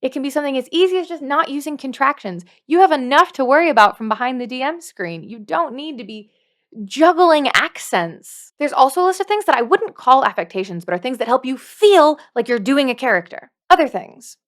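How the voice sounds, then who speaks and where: A woman's voice: high-pitched, expressive, a little fast. Playful, emphatic woman hosting a tutorial. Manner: playful, emphatic, with dry asides. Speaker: video host, dungeon master. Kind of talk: scripted tutorial to camera.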